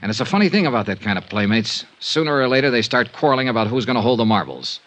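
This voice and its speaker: A man's voice: mid-range voice, big pitch swings, quick pace, fairly bright tone. An actor playing a tough, wry male investigator in a 1950s radio detective drama, with clipped, wary delivery. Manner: clipped, hard-boiled. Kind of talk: 1950s radio detective drama acting, first-person narration. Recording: noisy old radio recording with clicks.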